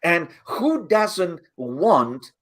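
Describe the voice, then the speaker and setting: A man's voice: high-pitched, very animated, drawn-out words. A man hosting a motivational live talk, speaking earnestly and often repeating his phrases. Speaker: host.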